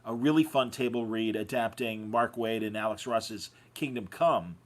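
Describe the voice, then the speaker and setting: A man's voice: on the low side, moderate pace. A man hosting a podcast in casual conversation, telling anecdotes with enthusiasm. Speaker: podcast host. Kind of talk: casual chat.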